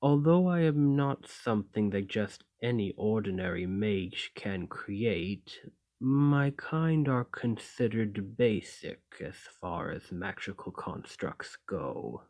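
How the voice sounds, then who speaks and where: A man playing an imprisoned clay golem in an ASMR roleplay, polite and learned, speaking in formal, deliberate phrases. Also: fairly low pitch, lively intonation, darkish tone. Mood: somber, reserved. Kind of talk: scripted ASMR roleplay acting.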